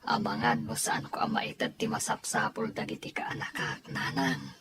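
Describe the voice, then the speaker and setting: A woman playing a soft-hearted, self-sacrificing grown man, a devoted uncle, in an audio story drama. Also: a bass voice, wildly swooping pitch, normal speed, creaky, whispered, exaggerated voice. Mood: hesitant, subdued.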